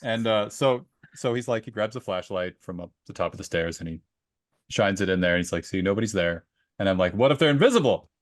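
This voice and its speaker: Male podcast co-host, lowish voice, dry close sound, conversational storyteller who slips into quoted dialogue. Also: expressive, fairly quick, no room sound. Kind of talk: casual book discussion with personal anecdotes.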